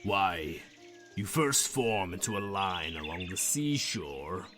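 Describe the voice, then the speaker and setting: A man playing a brusque, excitable gryphon in an audiobook drama, with swooping emphasis. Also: sits low, slow pace, over music. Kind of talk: scripted audiobook character acting.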